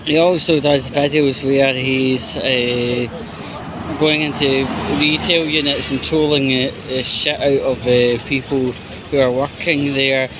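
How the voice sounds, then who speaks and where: A man giving casual opinion commentary in a halting, rambling, disapproving way. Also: mid-range voice, flat delivery, noisy background. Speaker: commentary YouTuber.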